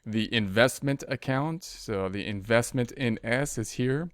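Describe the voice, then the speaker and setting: A man's voice: medium pitch, unhurried, no room sound, clean studio sound. A man giving an informal spreadsheet tutorial as an instructor, thinking aloud step by step and often repeating himself. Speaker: accounting instructor.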